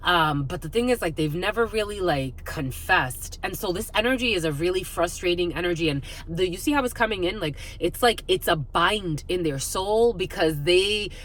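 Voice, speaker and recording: A woman's voice: fairly low pitch, expressive, normal speed, few pauses, fairly bright tone. A woman hosting an intuitive spiritual reading, talking casually and off the cuff, rambling and repeating her phrases with "like" thrown in often.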